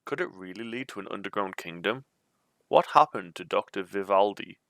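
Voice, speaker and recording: A man's voice: on the low side, lively intonation, moderate pace, vocal fry, fairly bright tone, dry recording, studio quality. A man reading an adventure storybook aloud as its narrator. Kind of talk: reading a choose-your-own-adventure book aloud.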